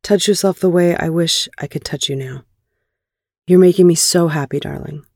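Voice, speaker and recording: A woman's voice: on the low side, normal speed, a little mellow, dry recording, clean studio sound. A woman voicing a dominant, aroused male lover, teasing and stern, in an audio erotic story. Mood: aroused, praising.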